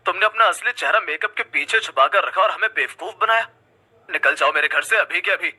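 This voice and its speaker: A man as a high-pitched, indignant husband. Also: fairly quick, bright, forceful tone, husky, full character voice. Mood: outraged. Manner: indignant, scolding. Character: harsh, shallow young husband, easily outraged.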